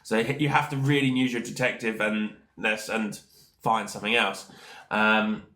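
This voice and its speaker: A man's voice: fairly low pitch, level tone, normal speed, bright, forceful tone, reverberant. A man hosting a solo gaming podcast, sounding nasal from hay fever, rambling with lots of fillers and repeated "of course". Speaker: gaming channel host. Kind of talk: casual solo podcast talk.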